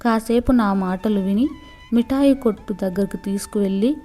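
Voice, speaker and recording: A woman's voice: medium pitch, moderate pace, warm tone, soft background music, close to an everyday voice. A woman storyteller voicing an innocent, chatty little girl in a children's story.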